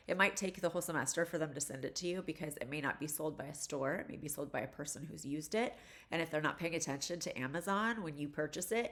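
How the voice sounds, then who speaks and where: A woman in her forties, a college instructor, casually talking through a course introduction video, congested and stuffy from allergies, chatty and rambling with lots of fillers. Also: deep voice.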